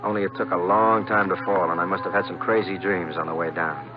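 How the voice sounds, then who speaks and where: An American actor playing a breezy, flirtatious, slangy drifter working as a steward in a 1950s radio drama. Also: male voice, deep voice, steady tone, quick pace, close to an everyday voice. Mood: hardboiled, wry. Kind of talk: 1950s radio drama acting, hardboiled first-person narration. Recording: dull old 1950s radio recording with music.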